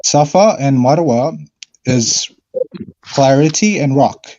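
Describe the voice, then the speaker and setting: A man calling into an online discussion, speaking hesitantly with many fillers and frequent throat clearing. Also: medium pitch, lively intonation, slow, warm tone, dull audio. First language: not Arabic. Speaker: caller. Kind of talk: casual debate call-in.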